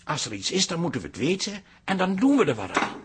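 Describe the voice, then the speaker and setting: An actor playing a concerned, plain-spoken grown son in a naturalistic radio drama. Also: male voice, on the high side, very animated, fast talker, slightly rough, full character voice. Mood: worried.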